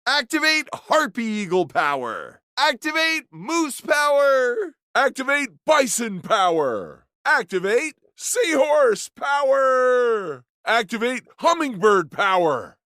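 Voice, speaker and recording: A man's voice: extremely high pitch, sing-song, takes it slowly, bright, forceful tone, no echo, studio quality, full character voice. A man voicing an eager adventurer in a cartoon game, calling out power commands with energy. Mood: rallying. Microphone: dry studio voice-over.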